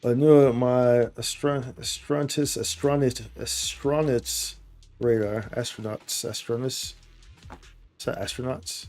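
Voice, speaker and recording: A man's voice: medium pitch, steady tone, takes it slowly, a little mellow, soft background music. A man giving casual, slangy live commentary as he streams video games. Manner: informal, slangy bro and dude talk. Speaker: game streamer.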